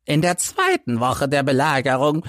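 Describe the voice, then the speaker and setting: A man's voice: medium pitch, huge pitch swings, normal speed, fairly bright tone, no echo, clean studio sound. A man voicing an embittered old hunchbacked ex-soldier telling his story in an agitated, emphatic way for an audiobook. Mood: grave recounting.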